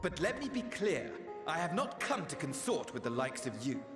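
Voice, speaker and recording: An actor playing a spiteful, haughty, vengeful dead villain in a video game, with a sneering, formal, theatrical delivery. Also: male voice, fairly high pitch, sing-song, normal speed. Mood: sneering, gloating. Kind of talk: dramatic video game dialogue. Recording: echoey hall reverb with music behind.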